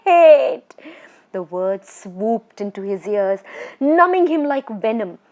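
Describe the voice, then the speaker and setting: A woman storyteller narrating a children's horror story in an expressive, suspenseful, dramatic way. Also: medium pitch, unhurried.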